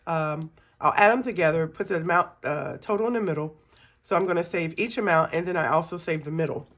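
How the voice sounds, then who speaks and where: Middle-aged woman, deep for her range, scratchy cold voice, chatty budgeting host. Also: fairly quick, fairly bright tone. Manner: chatty, many ums, counts money aloud. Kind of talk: casual chat while cash stuffing.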